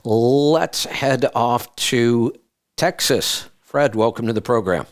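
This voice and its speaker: A man's voice: mid-range voice, takes it slowly. A man hosting a radio call-in show, talking casually with callers.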